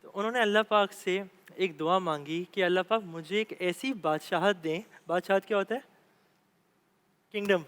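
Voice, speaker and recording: A man with a Pakistani accent telling a religious story to children, explaining simply with pauses. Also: sits high, fairly bright tone, clean tone.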